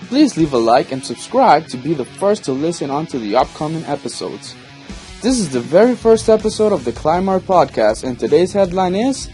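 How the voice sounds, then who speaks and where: A man's voice: on the high side, darkish tone. Young Honduran man podcasting on a phone. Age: 20s. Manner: rambling, with many fillers and restarts. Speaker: podcast host. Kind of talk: casual solo podcast monologue. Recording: dry phone recording with faint music.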